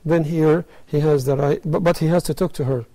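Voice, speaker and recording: A man's voice: a little high, fairly even intonation, brisk, warm tone, low-quality recording. A man speaking as an expert guest, explaining things in a panel discussion.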